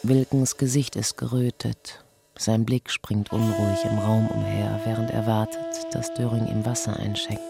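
A man with a gravelly voice reading scripted crime drama narration as the narrator. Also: fairly low pitch, level tone, moderate pace. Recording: loud music under the voice. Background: music.

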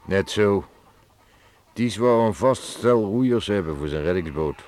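A man's voice: fairly low pitch, expressive, unhurried, a little mellow. An actor playing a weary, grumbling old village man in a Dutch radio drama, plain-spoken and resigned. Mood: matter-of-fact. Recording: noisy archive radio play recording.

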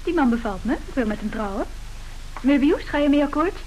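Cool headstrong young lady, highish voice, old hissy radio play. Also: expressive, darkish tone, poor audio. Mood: calmly defiant. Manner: wry, composed, quick to retort. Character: cool, strong-willed young daughter of a wealthy family.

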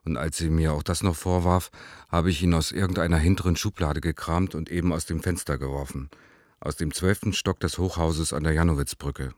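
A man's voice: very deep voice, darkish tone, no room sound, clean studio sound. An actor playing a despairing, self-loathing married man reading his letter to his lover in a radio play, in a sober, confessional manner. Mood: sober, weary.

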